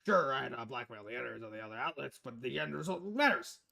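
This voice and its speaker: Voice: medium pitch, lively intonation, quick pace, gravelly, a crowd in the background, voice pushed down. A streamer voicing a blunt, pompous, middle-aged male newspaper editor in a visual novel game. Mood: boastful.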